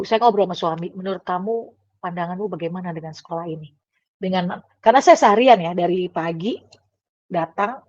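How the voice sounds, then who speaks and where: A woman's voice: medium pitch, darkish tone. A middle-aged woman giving a casual devotional sermon in a conversational way, with fillers and tag questions, coughing now and then. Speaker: devotional speaker. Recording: dull, dry, lo-fi recording.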